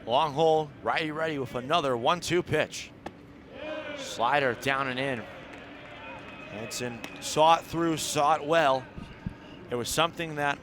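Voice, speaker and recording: A man calling live baseball play-by-play on the radio, mixing clipped descriptive calls with longer analysis. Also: a little high. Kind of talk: live radio sports commentary. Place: outdoor ballpark broadcast. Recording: steady outdoor ballpark background noise.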